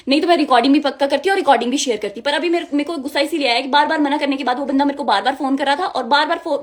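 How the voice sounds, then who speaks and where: Young woman. High pitch, rapid delivery, indignant and emphatic first-person account. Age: young adult. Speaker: music video model. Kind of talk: personal account told to viewers.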